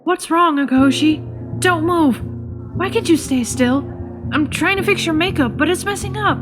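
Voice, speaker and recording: A woman's voice: very high-pitched, normal speed, music in the background. A woman voicing a fussy, self-assured teenage male idol in an anime fandub, with a prim, precise manner.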